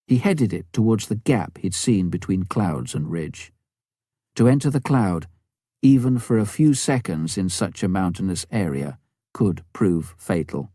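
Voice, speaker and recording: A man reading a scripted story as an audiobook narrator, measured and dramatic, with deliberate pauses. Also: low-pitched, warm tone, no echo, clean studio sound. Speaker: audiobook reader.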